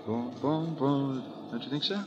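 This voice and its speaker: An actor playing a cheerful, naive young gentleman in a 1930s radio comedy, eager and polite. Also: mid-range voice, a little slow, lo-fi sound, close to an everyday voice. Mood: cheerful, earnest.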